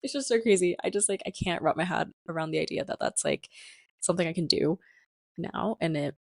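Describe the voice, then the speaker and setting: A woman's voice: on the low side, a little fast, creaky, dry recording. A 21-year-old woman hosting a casual, reflective solo podcast in a chatty, rambling way with lots of filler words. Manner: chatty, rambling, sprinkled with "like" and "you know". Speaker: podcast host.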